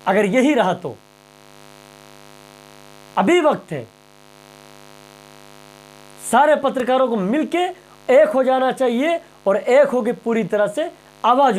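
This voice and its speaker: A man's voice: very high-pitched, expressive, takes it slowly, long pauses, darkish tone, poor audio. A man presenting impassioned news commentary straight to viewers, emphatic and rhetorical, repeating phrases and questions.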